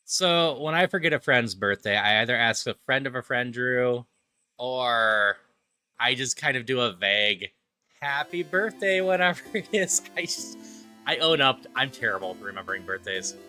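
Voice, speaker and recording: A man chats casually as a game streamer, rambling and easygoing. His voice is bright, with lively pitch movement, over faint music. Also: moderate pace. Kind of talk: casual livestream chat.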